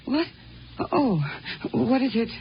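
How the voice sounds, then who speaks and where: An actress playing a wistful Martian wife in 1950s radio drama, speaking in a hesitant, evasive manner. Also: female voice, a little high, animated, takes it slowly. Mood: wistful, flustered. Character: dreamy, wistful Martian wife longing for a stranger. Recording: noisy, dull 1950s radio recording.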